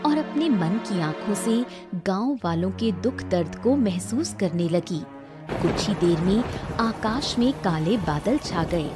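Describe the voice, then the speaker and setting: A woman giving scripted narration of a moral folk tale as the storyteller. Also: on the low side.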